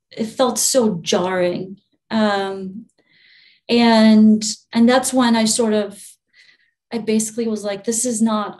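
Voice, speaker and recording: A young woman from Albania speaks as an interview guest, her pitch sitting at an ordinary middle height for a woman. She talks softly and loosely, trailing off, restarting and laughing between thoughts. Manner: soft-spoken, laughs often, many fillers and restarts. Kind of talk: relaxed conversational interview.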